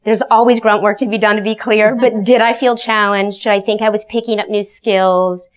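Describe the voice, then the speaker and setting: A woman's voice: medium pitch, steady tone, moderate pace. A woman on a webcast panel, speaking in an upbeat, earnest, explanatory way. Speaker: panelist from a nonprofit. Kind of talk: live webcast panel talk.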